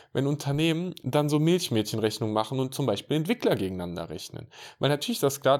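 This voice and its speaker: A man's voice: mid-range voice, darkish tone. A man working as an IT consultant, speaking in a casual, direct monologue and addressing the listener informally.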